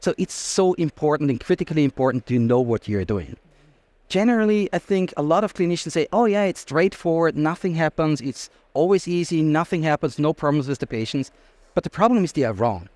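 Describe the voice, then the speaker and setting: A man's voice: a little high, lively intonation, brisk, a little mellow, dry recording. A man from Austria with an Austrian accent, a native German speaker, explaining things emphatically as an interview guest. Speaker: interview guest, anesthesiologist and researcher. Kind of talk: conversational expert interview.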